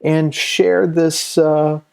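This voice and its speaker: A man in his fifties giving a casual, promotional vlog talk as a creator, halting and often searching for words. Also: on the high side, flat delivery, creaky, clear, resonant tone. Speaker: comic creator and channel host. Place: small room at home, indoors.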